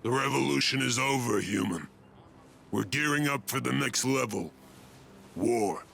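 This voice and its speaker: Gravelly, forceful alien rebel. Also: male voice, medium pitch, expressive, measured pace, a little hiss or hum, exaggerated voice. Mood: defiant. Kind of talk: video game cutscene acting.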